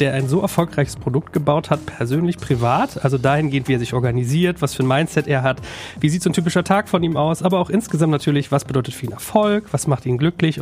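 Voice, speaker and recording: A man's voice: fairly high pitch, a little mellow. A man hosting a podcast interview in a chatty, informal way, dropping in English phrases. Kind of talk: conversational interview.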